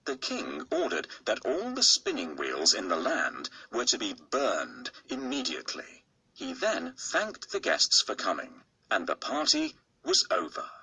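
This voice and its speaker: A man narrating a bedtime storybook in a slow, expressive, husky, gravelly storytelling voice. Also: fairly low pitch, no echo, low-quality recording. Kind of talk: bedtime storybook read-aloud.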